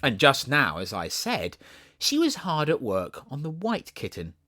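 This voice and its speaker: A man with a Southern accent reading a storybook as an audiobook narrator, in an expressive, theatrical way. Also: medium pitch, unhurried, dry recording, studio quality.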